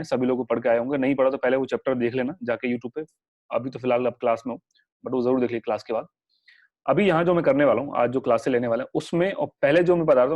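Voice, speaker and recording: A young man running a live online exam-prep class, talking quickly in a chatty, reassuring way. His throat is sore, and the voice sits at a medium pitch. The audio is a little dull and lo-fi, like a video call. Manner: chatty, reassuring, mixes English words into Hindi.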